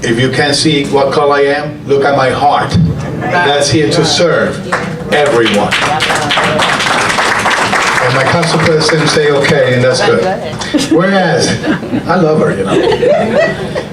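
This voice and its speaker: A city council member reading an honoree's resolution at a ceremony, halting and joking, with self-corrections along the way. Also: male voice, a little high, sing-song, over a noisy crowd. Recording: echoey hall, far mic, loud room noise.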